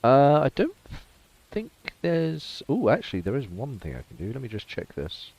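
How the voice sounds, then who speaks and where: A man's voice: mid-range voice, wildly swooping pitch, normal speed, a little mellow, breathy edge. A man playing in a tabletop game, chatty and self-mocking, sometimes counting aloud. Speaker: player. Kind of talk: casual tabletop game chat. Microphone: podcast mic.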